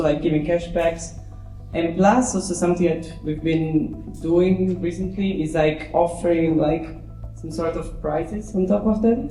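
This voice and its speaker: A man with a slightly high, flat and mellow voice speaks on a conference panel, halting and full of "um" and "like." He is picked up from a distance in a roomy hall, with faint music in the background. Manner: halting, heavy on "um" and "like". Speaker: panelist from a rewarded ad platform.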